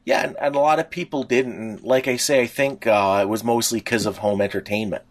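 A man's voice: mid-range voice, steady tone, a little fast. A middle-aged man co-hosting a podcast, chatting casually in a talkative, rambling, anecdotal way. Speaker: podcast co-host. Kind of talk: casual reminiscing chat.

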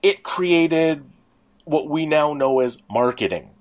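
A man's voice: a little high, lively intonation, takes it slowly. A middle-aged man co-hosting a casual podcast about pop culture, with a wry, grumbling sense of humour. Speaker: podcast co-host.

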